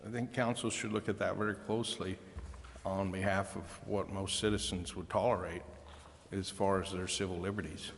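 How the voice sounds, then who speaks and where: Low-voiced man questioning in a reverberant chamber. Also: level tone, normal speed, gravelly. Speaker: council member. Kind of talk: questioning in a meeting. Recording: reverberant council chamber, faint noise.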